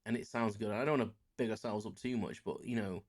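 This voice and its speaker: A man's voice: lowish voice, fairly even intonation, quick pace, darkish tone. A man around forty, chatting casually on his solo podcast, rambling and self-deprecating with many fillers. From England. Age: about 40. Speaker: podcast host.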